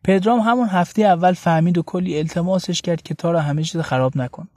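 A man's voice: high voice, a little mellow, no room sound, studio quality. A man reading a scripted first-person story as a radio serial narrator. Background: background music.

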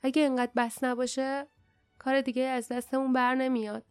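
A woman's voice: sits high, level tone, a little mellow, smooth, no room sound, studio quality, soft background music, voice pushed up, natural voice. Woman voicing a fierce, terse young girl adventurer. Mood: grim. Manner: terse, indignant.

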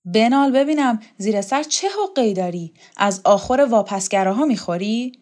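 A woman's voice: medium pitch, animated, natural voice. A woman voicing a proud, hot-tempered tough female fighter in an audiobook, coarse and boastful. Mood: angry, scornful. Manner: swearing, growling, boastful.

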